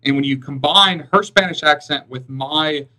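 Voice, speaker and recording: A man's voice: on the high side, moderate pace, sharp tone, low-quality recording. A man with an Alabamian accent hosting a podcast, chatting in an upbeat, rambling way. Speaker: podcast host. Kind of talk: casual interview chat.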